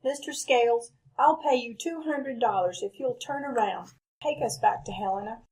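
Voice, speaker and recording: An actress playing an anxious, determined wife of an outlaw in an old-time radio western, earnest and husky. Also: female voice, a little high, moderate pace, a little mellow, low-quality recording. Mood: pleading, determined. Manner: earnest, pleading, firm.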